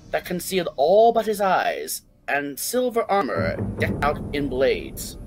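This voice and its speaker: Male story narrator: higher register, unhurried, animated scripted read over background music. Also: rough recording. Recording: music behind the voice.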